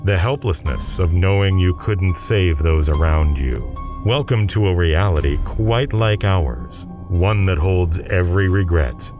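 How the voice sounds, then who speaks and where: A man's voice: low voice, animated, unhurried, music in the background. A man delivering dramatic scripted narration for an audio drama.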